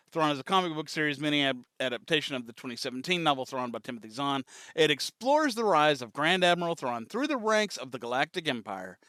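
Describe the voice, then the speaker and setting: Casual man, clear high-set voice, close and dry. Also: pushed and bright, no room sound. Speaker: host of a reaction show. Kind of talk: casual reaction commentary.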